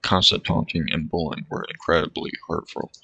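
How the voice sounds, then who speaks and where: A man's voice: extremely low pitch, steady tone, a little fast, gravelly, husky, dry recording, highs cut off. A man streaming a visual novel, reading its text aloud with short comments of his own. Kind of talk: reading game dialogue aloud over gameplay. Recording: game music playing loudly underneath.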